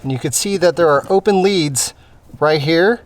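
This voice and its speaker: A man's voice: a little high, normal speed. A man hosting a casual how-to, explaining an installation step by step in a plain-spoken way, as he demonstrates. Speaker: host of a vehicle installation how-to. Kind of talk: casual hands-on tutorial narration. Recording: clear background ambient noise. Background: ambient noise.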